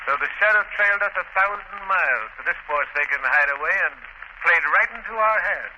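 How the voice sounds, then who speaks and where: An actor playing a ruthless, scornful, scheming criminal boss in a 1940s radio drama, sneering and domineering. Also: male voice, high voice, expressive, a little fast, bright, forceful tone, heavily acted. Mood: gloating. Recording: noisy, dull old radio recording.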